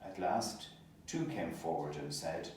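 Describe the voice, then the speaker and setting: A man reading a Gospel solemnly as narrator, in a measured, formal manner, as clergy do in worship. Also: low-pitched, a crowd in the background. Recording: echoey, distant, faint background noise. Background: passing hospital noise.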